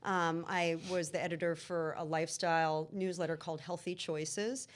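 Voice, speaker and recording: A woman's voice: on the low side, fairly even intonation, normal speed. A middle-aged woman from Egypt, talking casually as a guest chef, chatty with frequent ums and laughs. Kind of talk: casual interview chat.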